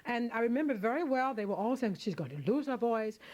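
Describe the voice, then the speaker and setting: A woman's voice: fairly high pitch, lively intonation, quick pace, a little mellow. A middle-aged woman opera singer being interviewed on radio, speaking frankly and emphatically, often restarting her sentences as she thinks aloud.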